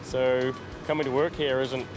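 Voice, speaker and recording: A man from New Zealand, a lifeguard sergeant, calmly explaining his work in a documentary interview. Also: medium pitch, moderate pace, over music.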